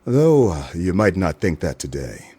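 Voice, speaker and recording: A man's voice: sits low, sing-song, measured pace, warm tone, slightly rough, full character voice. An actor playing a wry, wisecracking hard-boiled male detective in a noir radio play, both narrating and in dialogue. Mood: teasing, friendly.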